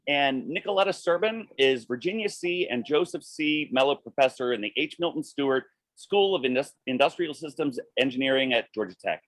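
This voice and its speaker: A man's voice: medium pitch, expressive, fairly quick, a bit bright, dry recording, poor audio. Dry-voiced man moderating a webinar. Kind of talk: formal introductions.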